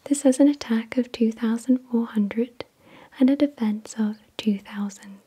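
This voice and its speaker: A woman hosting ASMR, reading out descriptions in a breathy whisper with careful, soothing enunciation. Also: a little high, measured pace, warm tone. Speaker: ASMR video host. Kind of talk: soft-spoken ASMR card unboxing, reading descriptions.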